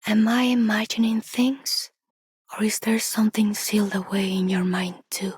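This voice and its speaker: A woman voicing a mysterious, solemn young keeper of ancient knowledge in a game, in a breathy whisper. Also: mid-range voice, fairly even intonation, moderate pace, darkish tone, no echo. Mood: hushed, concerned. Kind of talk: game character voice acting.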